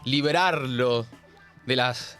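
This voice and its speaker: A man's voice: medium pitch, takes it slowly, a bit bright, clear, resonant tone, soft background music. A man with a Rioplatense Argentine accent hosting a political commentary show, talking in an emphatic, sardonic, slangy way. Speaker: host.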